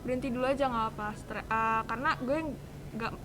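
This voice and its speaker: High teenage Jakarta woman, noisy background. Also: fairly even intonation, quick pace. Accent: Jakarta Indonesian. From Indonesia. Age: teens, high school student. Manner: informal, colloquial. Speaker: student podcast guest. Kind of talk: casual chat, giving advice. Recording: clearly audible background ambient noise.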